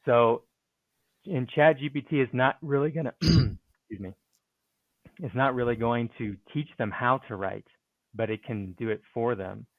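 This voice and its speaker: A man's voice: mid-range voice, unhurried, no room sound. A man working as a speaking and writing coach, answering interview questions in a hesitant way, with many fillers and restarts. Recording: tinny phone-like line.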